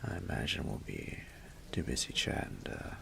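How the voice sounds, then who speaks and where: Man acting a gravelly low Southern roommate, teasing. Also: sing-song, normal speed, pushed and bright, slight background noise, exaggerated voice. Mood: relaxed, casual. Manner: drawling, teasing, half-whispered. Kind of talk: intimate roleplay audio acting, close and conversational.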